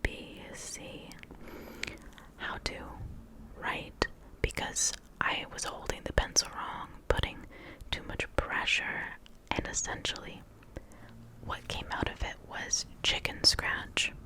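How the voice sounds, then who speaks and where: A woman's voice: slow pace, rough recording. A young woman whose first language was Portuguese, telling a rambling, self-mocking story in a close-miked ASMR whisper. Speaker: ASMR creator.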